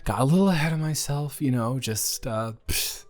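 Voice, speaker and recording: A man's voice: mid-range voice, normal speed, close to an everyday voice. A young man playing a friendly, slightly awkward college student in a roleplay audio, talking casually and hesitantly to the listener as a dormmate. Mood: tired, rambling. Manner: rambling, animated, hesitant casual speech.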